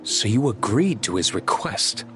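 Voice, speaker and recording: A man playing a cultured, sceptical young nobleman in a video game, measured and aloof. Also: fairly low pitch, sing-song. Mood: cool, sceptical. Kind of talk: dramatic video game voice acting. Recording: clear music behind the voice.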